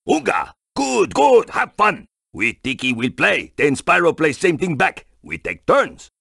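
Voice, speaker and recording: Gravelly, boisterous tiki drummer. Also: male voice, a little high, huge pitch swings, a little slow, a bit bright, no echo, full character voice. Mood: excited. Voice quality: husky, gravelly. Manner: broken English with chanted nonsense exclamations. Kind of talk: cartoon video game acting.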